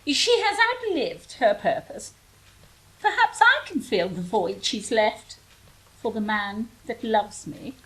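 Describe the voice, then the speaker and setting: A woman's voice: fairly high pitch, sing-song, slow pace, a bit bright, heavily acted, poor audio. An actress playing a haughty, scheming queen in a comedy radio play.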